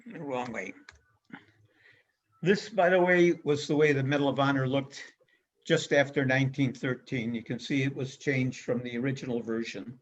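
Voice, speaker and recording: A man giving an illustrated history lecture as a guest speaker, speaking in a measured way with frequent pauses and fillers. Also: mid-range voice, steady tone. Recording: dull lo-fi recording.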